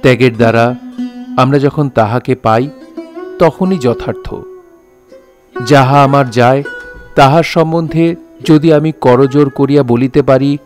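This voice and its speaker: A man's voice: mid-range voice, steady tone, unhurried, pauses often, a little mellow, soft background music, close to an everyday voice. A man playing a solemn, sensitive poet who narrates his own novel in a reflective, literary way. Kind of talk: dramatised literary storytelling.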